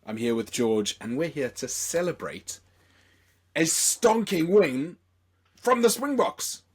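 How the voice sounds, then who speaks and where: A young man from South Africa hosting a casual podcast, hoarse from a chest infection, rambling and excitable with many fillers. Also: on the high side, slow. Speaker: podcast host.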